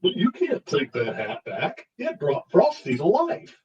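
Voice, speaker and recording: A woman's voice: low-pitched, wildly swooping pitch, unhurried, a little mellow, lo-fi sound, heavily acted. A woman playing an eager schoolchild in a live table read of a holiday cartoon. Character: eager schoolchild building a snowman. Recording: voice distant from the mic.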